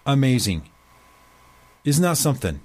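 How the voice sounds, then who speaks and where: A man hosting his own commentary show, talking emphatically, slowing to stress key words and dropping low at the ends of his points. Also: big pitch swings, darkish tone. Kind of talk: opinionated commentary reading excerpts aloud.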